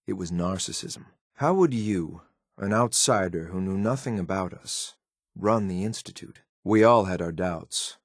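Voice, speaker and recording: An actor playing a loyal, coldly disdainful synth soldier in a video game, speaking in a clipped, military, deferential but blunt way. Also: male voice, low-pitched, unhurried, dry recording, clean studio sound. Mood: grave, measured. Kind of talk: video game companion voice lines.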